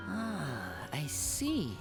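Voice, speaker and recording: A woman playing a polite, analytical female investigator in a video game, deliberate and courteous. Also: low voice, huge pitch swings, music in the background, heavily acted. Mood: thoughtful. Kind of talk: video game character acting.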